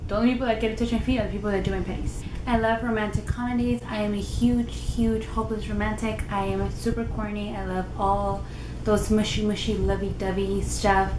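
A young woman with a naturally loud voice, chatting casually to the camera in her living room with lively gestures. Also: medium pitch. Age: young adult. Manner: animated, gestures a lot with her hands. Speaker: lifestyle vlogger. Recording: roomy with noticeable background noise.

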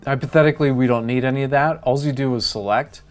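A man's voice: medium pitch, fairly even intonation, fast, soft background music. A middle-aged man hosting a how-to demonstration, explaining each step in a plain, methodical way. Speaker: tutorial host.

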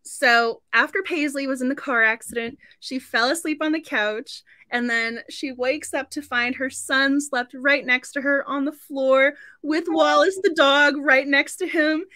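A woman's voice: sits high, bright, forceful tone, no room sound. A woman in her thirties hosting a casual book club livestream in a gushing, giggly way. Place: indoor room at home.

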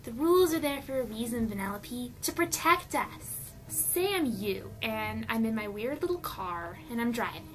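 A woman in an amateur cartoon fan dub voicing a snooty young girl bully who talks in a mocking, drawn-out, theatrical way. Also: a little high, expressive, fairly bright tone. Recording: music behind the voice.

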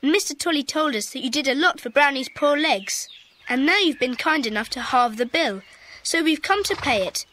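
A woman's voice: high-pitched, lively intonation, moderate pace, sharp tone, slight background noise. A woman playing an earnest, polite British schoolchild in a children's audio drama.

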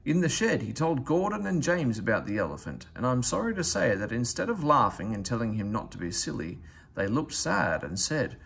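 A man reading a children's story aloud as scripted narration, in an expressive storytelling manner. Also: medium pitch, moderate pace, vocal fry, husky. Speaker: storybook reader.

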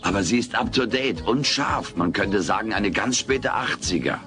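Male actor as cheeky furry alien, low voice, naive, self-assured wisecracks, comedy radio play, music behind. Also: expressive, normal speed, close to an everyday voice. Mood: eager. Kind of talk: German dubbed comedy audio play.

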